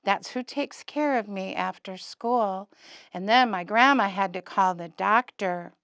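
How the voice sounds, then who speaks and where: An earnest young boy puppet voiced by a woman speaks slowly to small children in simple words. The puppet-show storytelling is childlike and plain, with a dry sound free of room echo. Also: mid-range voice, a bit bright. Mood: earnest, reassured.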